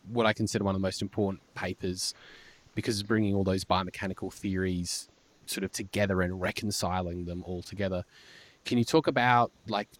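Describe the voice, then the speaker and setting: Low-voiced male podcast host, halting delivery. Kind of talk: enthusiastic conversational interview.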